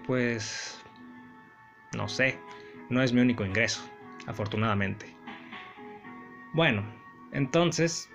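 Mexican guy doing a solo podcast, creaky fry in his voice, rambling and sardonic, music playing behind him. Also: mid-range voice, a bit bright. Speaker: podcast host. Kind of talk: casual solo storytelling podcast.

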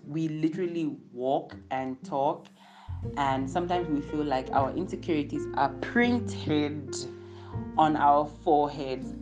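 A woman speaking candidly in a casual motivational monologue, acting out what people say. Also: deep voice. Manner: candid, animated, acts out quoted remarks. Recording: music playing underneath.

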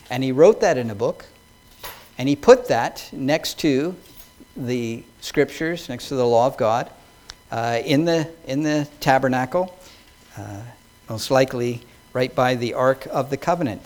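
A man's voice: medium pitch, darkish tone. A man preaching a sermon to his congregation, building emphasis as he reads scripture aloud. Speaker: pastor. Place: church hall with congregation.

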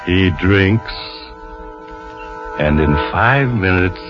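An actor playing an ominous storyteller, narrating an old-time radio crime drama. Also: male voice, low voice, lively intonation, extremely slow, heavily acted. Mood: measured, ominous. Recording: dull old radio recording, music underneath.

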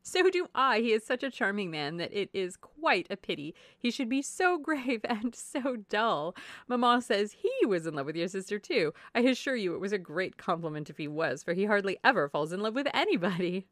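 A woman playing a silly, chatty young married gentlewoman in a classic novel reading, effusive and exclamatory. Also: mid-range voice, big pitch swings, normal speed, no echo, studio quality, natural voice. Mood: gushing, delighted. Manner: effusive, exclamatory, prone to giggling. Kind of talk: classic novel audiobook dialogue.

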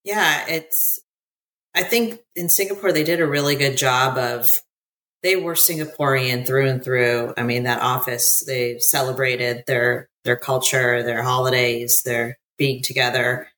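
A woman's voice: rumbling low, steady tone, a little slow, fairly bright tone. An American woman from the United States talking as an interview guest in a chatty way, with many fillers and easy laughs. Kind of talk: casual interview conversation.

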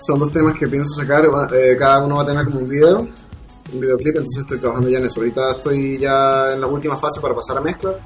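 A man in his mid-twenties, a musician guest, talking in a relaxed, joking way. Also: mid-range voice, quick pace, faint music behind. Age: 20s. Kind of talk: casual podcast chat.

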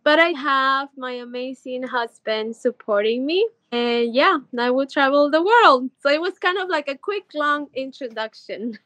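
A woman's voice: high voice, lively intonation, measured pace, a bit bright, dry recording. A woman from Ecuador in her thirties, a podcast guest chatting eagerly with lots of "like" fillers. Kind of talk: casual interview chat.

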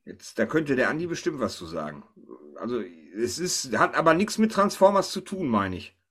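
A man's voice: mid-range voice, very animated, moderate pace, slightly rough. A man hosting a casual panel chat, thinking aloud and often tossing questions to the others. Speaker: podcast host.

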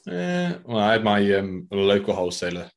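A man's voice: lowish voice, very animated, moderate pace, gravelly. A man in his late twenties, an electrician co-hosting a casual podcast, telling stories and acting out the voices of people he quotes. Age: 20s. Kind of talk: casual group chat.

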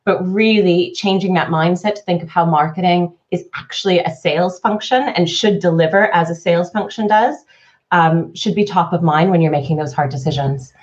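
A woman's voice: on the low side, normal speed. Canadian woman on a lo-fi webinar line. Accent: Canadian, slightly softened by years in the UK. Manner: fluent, animated, talks in long run-on explanations. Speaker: guest, marketing consultant. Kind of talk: conversational webinar interview. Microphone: video call. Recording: lo-fi webinar audio.

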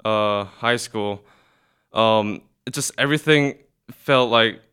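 A man's voice: lowish voice, slow pace, sharp tone, clear, resonant tone. A young man from South Korea, a guest chatting casually, hesitant with many restarts. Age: young adult. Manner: hesitant, many restarts and fillers, laughs often. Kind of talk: casual chat.

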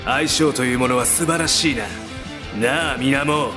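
A man's voice: mid-range voice, normal speed, over music, natural voice. A man playing an arrogant, hot-tempered lightning mage villain with a sneering, theatrical manner in a fantasy audio drama. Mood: gloating. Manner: sneering, theatrical, spell-shouting.

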